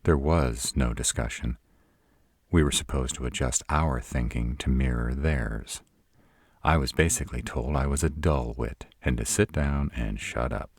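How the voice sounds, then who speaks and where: A man's voice: extremely low pitch, measured pace, breathy edge, dry recording, full character voice. A man playing a sarcastic, proud city-bred vampire in a scripted audio roleplay, with dry, sardonic delivery. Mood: bitter, sardonic. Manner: sardonic, dry, rhetorical questioning.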